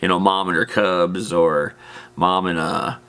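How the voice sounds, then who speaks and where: Low-pitched man, casual and rambling. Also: fairly even intonation, a little slow, a bit bright, slight background noise. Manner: rambling, informal, matter-of-fact. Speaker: host of a tabletop roleplaying lore channel. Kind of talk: casual unscripted explainer.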